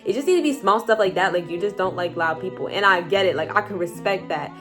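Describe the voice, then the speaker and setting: A teenage girl giving casual high school advice in a chatty vlog, saying "like" a lot. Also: fast, over music. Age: teens. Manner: chatty, heavy use of "like", animated asides. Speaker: high school student vlogger.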